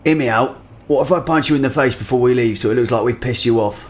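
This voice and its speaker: A man voicing a cheeky, punch-happy mercenary in a tabletop game, flippant and deadpan, with a British accent. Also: mid-range voice, quick pace, lightly acted. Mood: flippant, pugnacious. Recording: faint background noise.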